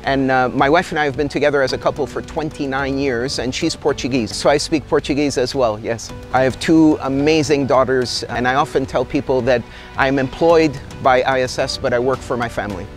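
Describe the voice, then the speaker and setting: A middle-aged man, an executive from Canada, talks steadily and thoughtfully, weighing his words as he gestures. His voice sits at an ordinary pitch, recorded close on a lapel mic outdoors, with soft music faintly underneath. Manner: measured, articulate, gestures while talking. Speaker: company executive. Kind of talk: corporate interview. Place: outdoor tropical garden.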